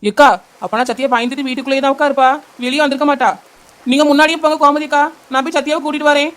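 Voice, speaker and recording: A woman playing a brave young village aunt who talks quickly and reassuringly in an amateur audio drama. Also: high voice, steady tone, fairly bright tone. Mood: determined. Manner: reassuring, brisk, fast-talking.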